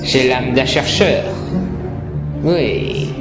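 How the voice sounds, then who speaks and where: A man's voice: mid-range voice, very slow. A man playing a proud, prickly older scientist, a cold schemer with a haughty, indignant manner, in a fan dub. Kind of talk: dramatic fan dub acting. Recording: roomy home dub with music.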